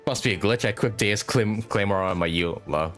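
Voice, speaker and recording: A man giving casual, halting and rambling live commentary while playing a video game. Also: on the low side. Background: video game music.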